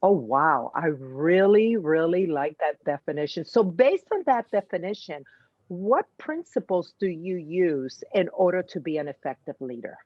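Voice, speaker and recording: A woman's voice: on the low side, animated, unhurried, no room sound, muffled recording. A woman hosting an interview, asking questions with enthusiastic, effusive reactions over a video call. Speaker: host, leadership strategist. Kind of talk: interview host.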